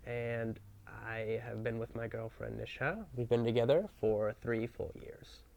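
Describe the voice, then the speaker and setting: Gravelly-voiced young American man. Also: medium pitch, measured pace, darkish tone, muffled recording. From United States. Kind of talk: personal testimony in a documentary interview.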